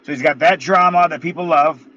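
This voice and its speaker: A man's voice: on the high side, brisk, pushed and bright. Blunt thirties man, muffled sound. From United States. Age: 30s. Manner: laid-back, blunt, swears casually. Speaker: guest, MMA fighter. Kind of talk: casual interview. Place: in his car.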